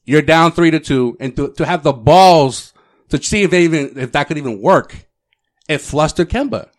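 An emphatic man in casual sports talk with a slightly high voice. He repeats himself for emphasis and swears freely. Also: expressive, normal speed, dry recording, clean studio sound. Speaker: sports podcast co-host.

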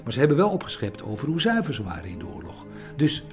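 A man speaks as an interviewee recounting history. He is halting and searches for his words, often repeating them. Faint music plays behind his voice. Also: mid-range voice, big pitch swings. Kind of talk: hesitant interview talk.

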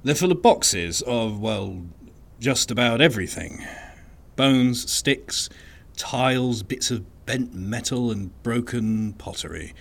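A man playing an unassuming, wry and resigned archivist in an audio drama monologue, speaking in a dry, understated, conversational way with hesitant pauses. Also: medium pitch, animated, gravelly, full character voice. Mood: weary, exasperated. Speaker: narrator of an audio fiction podcast.

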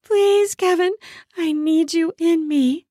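A woman playing an eager, submissive female lover, breathy and pleading, in an erotic audio story. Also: very high-pitched, slow pace, dark tone, no echo, clean studio sound, pitched above the performer's own voice.

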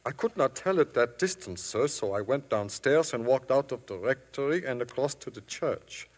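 A man's voice: mid-range voice, lively intonation, moderate pace, lightly acted. An actor playing a humble male witness in a 1950s radio drama, deferential and polite in manner. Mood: earnest. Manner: deferential, always adds "sir". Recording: vintage radio broadcast, long hall reverb.

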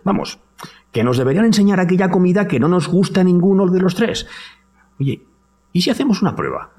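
A man's voice: high voice, big pitch swings, brisk, a little mellow. An actor with a Castilian Spanish accent playing a nervous, agitated man in an audio drama, rambling and repeating himself. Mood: excited. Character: agitated man convinced his house hides his favourite food.

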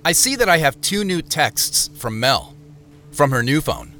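A man's voice: on the high side, very animated, unhurried, sharp tone. A man reading scripted narration and credits for an audio drama. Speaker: narrator and producer. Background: music.

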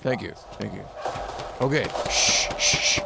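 An actor playing a lazy but eager alien husband, muttering and bossy, in an audio comedy. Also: male voice, on the low side, unhurried, vocal fry, heavy background noise. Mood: impatient, eager.

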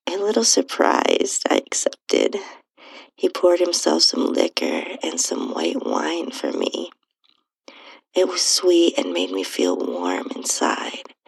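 Female narrator for intimate erotic audio fiction: slow, breathy and sultry, swinging widely in pitch, close dry sound. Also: dry recording, rough recording. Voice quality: breathy, whispery, husky. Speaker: podcast host and narrator reading own story. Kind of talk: intimate first-person erotic audio storytelling.

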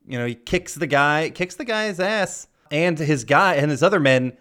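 A male podcast co-host talks loosely and rambles, laughs often, and drops the odd swear while he retells film scenes. His voice sits a touch higher than most men's. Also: expressive, moderate pace, fairly bright tone. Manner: retells scenes with playful quoted lines. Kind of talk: casual chat.

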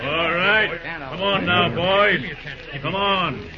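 A bustling country sheriff in an old radio play, bossing men around in a high voice. The sound is muffled, with a crowd behind. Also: male voice, slow pace. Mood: bossy, hurried. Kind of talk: old-time radio drama acting.

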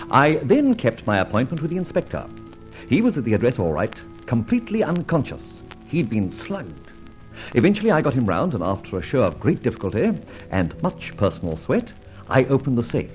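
An actor playing a cocky, quick-witted gentleman adventurer in a radio drama, with a breezy, wry, theatrical delivery. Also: medium pitch. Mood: wry narration. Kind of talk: old-time radio drama acting and narration. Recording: muffled old radio recording, music behind.

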